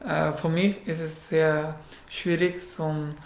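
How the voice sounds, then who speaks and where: A man from Afghanistan with an Afghan accent, whose first language is Pashto, answering interview questions in a halting way with many fillers. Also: a little high, flat delivery, gravelly, echoey room. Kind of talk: casual interview.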